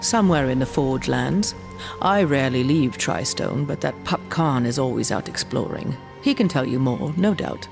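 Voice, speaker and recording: Creaky, sorrowful old shaman. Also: a bass voice, animated, over music, full character voice. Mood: measured. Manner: solemn, mystical, measured. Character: sorrowful old shaman of a dying giant race. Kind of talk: dark fantasy game acting.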